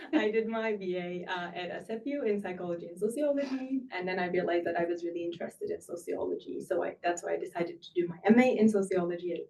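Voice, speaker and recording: A woman's voice: medium pitch, a little mellow, far from the mic. A woman from Turkey, a clinical research coordinator presenting a webinar in a friendly way.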